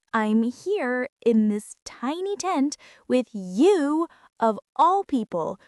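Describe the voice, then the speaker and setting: Woman acting a bratty, high-voiced teenage girl bully. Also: warm tone, dry recording, clean studio sound. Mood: exasperated. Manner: whiny, sarcastic, exasperated complaining with sighs. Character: bratty teenage girl bully, grumbling, secretly softening toward tentmate. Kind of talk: ASMR roleplay, acted monologue to the listener.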